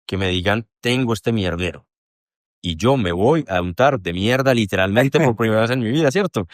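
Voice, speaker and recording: Podcast guest: young Colombian man, low voice, dry close mic, rambling and self-mocking, swears freely. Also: animated, moderate pace, no echo. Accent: Colombian Spanish. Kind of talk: candid casual conversation.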